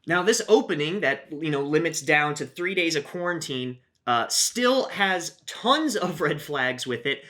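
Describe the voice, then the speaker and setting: A man with a fairly high, bright voice runs his own channel, talking casually about travel news. He keeps a wry, chatty tone and drops in frequent filler "uh"s as he walks through each point. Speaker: YouTube host.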